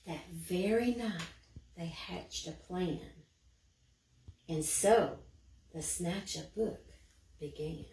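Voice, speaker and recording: A woman narrating a children's picture book as an expressive, dramatic bedtime story. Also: on the low side, very slow, long pauses, slight background noise. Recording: roomy echo, distant microphone.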